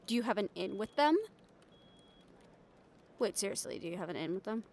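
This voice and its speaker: A woman's voice: mid-range voice, animated, normal speed, a little hiss or hum, close to an everyday voice. A woman playing a brash, stubborn, ambitious young reporter in an improvised audio roleplay, with a sarcastic, cocky, conversational delivery. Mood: insistent, persuasive.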